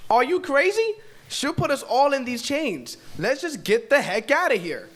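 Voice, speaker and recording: A man's voice: extremely high pitch, sing-song, moderate pace, fairly bright tone, exaggerated voice. A man reading the part of a frightened, stammering teenage boy who was held captive, at a live table read. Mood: pleading. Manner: nervous, stammering and pleading. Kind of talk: live table read of a horror comedy script.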